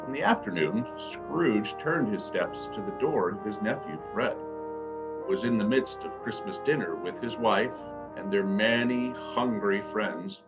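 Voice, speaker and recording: Genial announcer-narrator for a holiday radio play, male performer, scripted storytelling, muffled sound with clear musical underscore. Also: on the low side, animated, measured pace, music in the background. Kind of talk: old-time radio drama narration.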